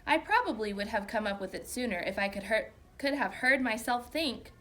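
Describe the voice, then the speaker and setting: A woman's voice: fairly high pitch, expressive, fairly quick, pushed and bright. A woman voicing a small, clever storybook hamster who tells the tale earnestly and wryly. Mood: earnest, thoughtful.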